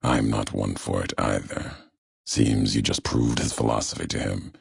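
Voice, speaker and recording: An actor playing a grim, brooding wasteland wanderer in a video game, speaking gravely in cryptic, deliberate, clipped phrases with a gravelly voice. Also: male voice, rumbling low, steady tone, heavy reverb, exaggerated voice. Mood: cold, judging. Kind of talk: video game character dialogue.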